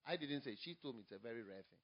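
A man with a Ghanaian English accent, a pastor preaching a sermon, moving from quiet storytelling to loud, emphatic preaching. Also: mid-range voice, expressive, rapid-fire, husky, muffled recording.

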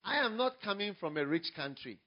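A man with a Ghanaian English accent, a pastor preaching a sermon, moving from quiet storytelling to loud, emphatic preaching. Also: high voice, big pitch swings, a little fast, highs cut off.

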